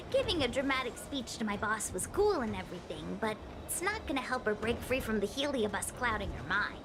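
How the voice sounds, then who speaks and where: An actress playing a plucky young fortune-teller, a cheeky but earnest helper, chatty and expressive in video game style. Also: female voice, on the high side, normal speed, background noise. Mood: flustered.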